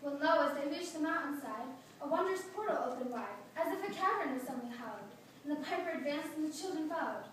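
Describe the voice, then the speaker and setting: A woman's voice: high voice, animated, quick pace. A woman narrating a verse tale as part of a readers theatre performance. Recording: distant, very echoey room, faint noise.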